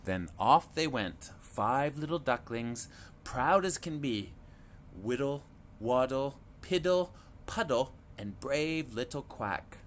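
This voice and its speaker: A man reading a picture book aloud to children in a slow, clear, expressive storytelling manner. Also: mid-range voice, slight background noise.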